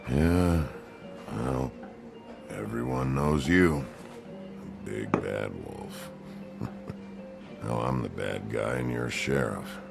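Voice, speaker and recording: A gruff woodsman in a video game drama, down on his luck and sick of himself. The voice sits extremely low and crackles with gravel. It swings widely in pitch as he rambles coarsely, with music underneath. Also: expressive, takes it slowly, long pauses, heavily acted. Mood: bitter, brooding. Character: gruff, down-and-out woodsman, bitter, self-loathing brute.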